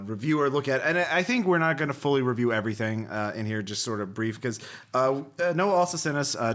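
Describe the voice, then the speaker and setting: A man's voice: medium pitch, a bit bright. A man hosting a podcast in casual chat, rambling along in a jokey way. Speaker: podcast host.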